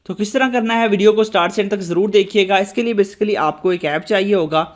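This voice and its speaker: Male tutorial host, high register, instructional delivery straight to the viewer. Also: fast. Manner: instructional, repeatedly addressing viewers. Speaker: host of a tech tutorial channel. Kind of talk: screen-recorded app tutorial narration.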